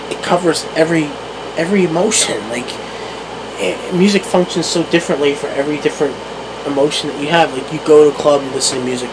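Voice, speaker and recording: Young male vlogger, central New Jersey accent, rambling and full of restarts, noisy camera audio with a hum behind. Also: a little high. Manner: rambling, with many restarts and fillers, laughing at himself. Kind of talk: casual solo vlog monologue. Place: room at home, talking to a camera on a tripod. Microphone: camera microphone. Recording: noisy home camera recording.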